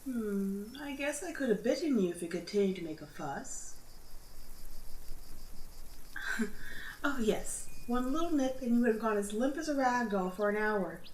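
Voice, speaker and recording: A highish female voice in a roomy, echoing space with faint noise, a woman acting out a spider woman of the forest. She coaxes like a mother and teases with wry amusement, in the intimate manner of an ASMR roleplay. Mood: wry, curious. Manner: gently teasing, amused, motherly coaxing. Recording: roomy echo, audible background noise.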